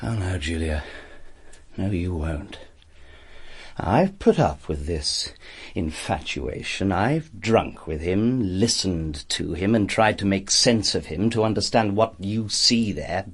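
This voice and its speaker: An actor playing a cynical, possessive, menacing thief in a radio drama, with a husky voice and sardonic, insistent delivery. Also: male voice, low-pitched, lively intonation, takes it slowly, full character voice. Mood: possessive, firm.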